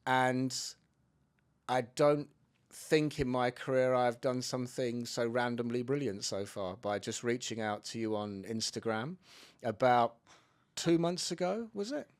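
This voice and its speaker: A man's voice: mid-range voice, measured pace. A man hosting a podcast interview in a hotel room, joking around and rambling, stumbling and restarting his sentences. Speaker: podcast host.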